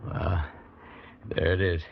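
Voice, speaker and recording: A worn-out, stubborn police sergeant in a vintage radio play. His voice sits very low with a heavy creak, and the phrases come haltingly. The recording is dull and hissy with age. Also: flat delivery, full character voice. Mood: tense, careful. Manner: halting, weary delivery with broken phrases. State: exhausted. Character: exhausted, dutiful, stubborn male police sergeant freezing in wilderness. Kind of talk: old-time radio drama acting.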